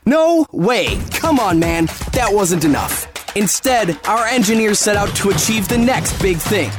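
Guy doing a punchy ad read, voice bouncing all over, music behind. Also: high voice. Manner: lively, casual punchy delivery. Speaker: ad announcer. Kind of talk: scripted ad read. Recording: music bed under voice.

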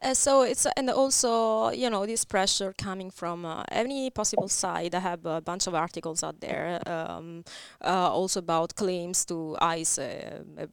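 Halting Italian-accented woman guest. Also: on the low side, clear, resonant tone, dry recording. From Italy. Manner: halting, many uh fillers. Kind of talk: talk show interview.

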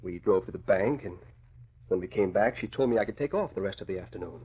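An actor playing a polite, hesitant, formal household servant with a gossiping streak in an old radio drama. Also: male voice, low-pitched, animated, fast talker, breathy edge. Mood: tattling. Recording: dull, lo-fi old radio recording.

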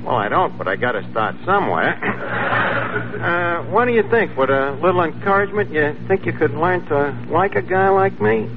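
A man's voice: high-pitched, expressive, moderate pace. An actor playing a brash, slangy New York barkeep and would-be ladies' man in 1940s radio comedy. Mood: cocky, flirtatious. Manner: streetwise, slangy, wisecracking. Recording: noisy, muffled vintage radio broadcast.

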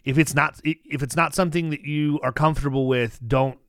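A man hosting a casual podcast, talking animatedly with many restarts and making pitches to his listeners. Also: steady tone, fairly quick, vocal fry, a bit bright, clean tone, no room sound, studio quality. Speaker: podcast host.

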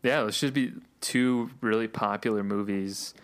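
A man co-hosting a podcast in casual chat, relaxed and chatty, laughing often, saying he is losing his voice. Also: on the low side, measured pace. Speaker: podcast co-host.

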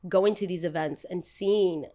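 A woman's voice: lowish voice, a little slow, mellow. A young woman with a New Jersey accent, chatting casually as a podcast host in a blunt, emphatic, slangy way. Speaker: podcast host, content creator.

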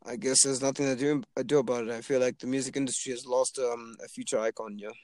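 Flat-voiced young man from Zimbabwe. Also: medium pitch, fast, no echo. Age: 20s. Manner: relaxed, rambling with many fillers. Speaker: aspiring rapper. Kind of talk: casual chat.

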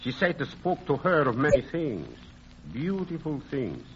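An old art dealer in a vintage radio drama, fond and teasing. His delivery is halting and very animated, with big swings in pitch. The recording is muffled, with a hiss behind it. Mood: gently hinting.

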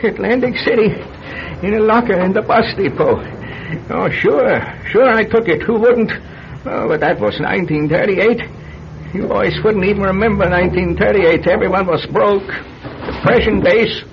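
A paranoid old hermit with a very high, gravelly voice. He rambles and mutters bitterly in broken phrases. It sounds like a muffled old radio play. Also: moderate pace. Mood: wistful, defensive. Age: older. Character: paranoid, bitter old male recluse hoarding hidden money. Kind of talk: old-time radio drama acting. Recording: dull, noisy old radio recording.

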